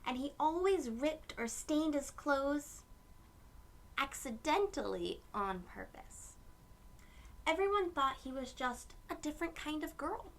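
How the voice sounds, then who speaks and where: A woman reading a picture book aloud to young children, with gentle storytelling phrasing and asides to her listeners. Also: high-pitched, expressive, a little slow.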